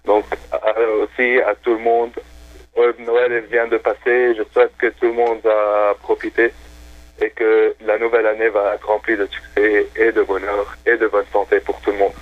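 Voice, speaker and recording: A man's voice: on the low side, level tone, moderate pace, dry recording. A man whose first language is Arabic, calling in to a radio show and chatting casually in French with many hesitations. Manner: enthusiastic, hesitant with many fillers. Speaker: phone-in guest. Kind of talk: casual radio phone chat. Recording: tinny phone line, dry.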